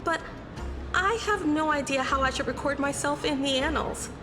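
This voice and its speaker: A woman acts out a shy, earnest young female bard from an anime game. Her voice is extremely high and girlish, with an excitable temper. Music plays clearly behind her. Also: moderate pace, lightly acted. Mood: earnest, troubled. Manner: shy stammer.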